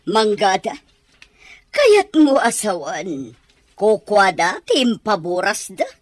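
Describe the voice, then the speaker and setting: A woman's voice: medium pitch, very animated, slow pace, dry recording. A woman acting an inquisitive, blunt big-sister figure in an audio drama. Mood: curious, sceptical.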